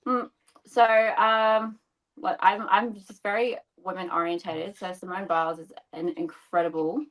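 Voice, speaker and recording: A woman's voice: lowish voice, steady tone, measured pace, fairly bright tone, rough recording. A woman in her thirties, a podcast guest chatting casually over a video call, laughing often and explaining things patiently. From Australia. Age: 30s. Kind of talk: casual interview chat.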